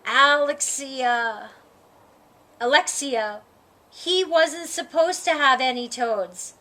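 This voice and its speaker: An exasperated grade-school teacher man, as a woman storyteller plays him, bright and slow. He explains things instructively, leaning on his words as in a storybook read-aloud. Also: sits high, heavily acted. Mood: calming.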